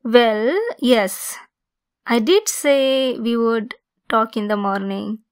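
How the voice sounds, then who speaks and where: A woman performing a scripted ASMR roleplay as a possessive female centaur, speaking in an intimate, gently teasing manner. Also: a little high, takes it slowly, clear, resonant tone. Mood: calm, caring.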